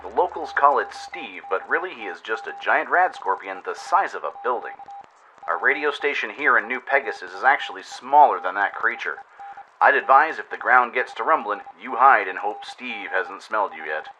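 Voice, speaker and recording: A wry male radio announcer of the wasteland, acted by a man, delivers advisories in a very bright, forceful voice. It has the style of a public service broadcast. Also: fairly low pitch, expressive, moderate pace, slight background noise, rough recording. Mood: wry warning.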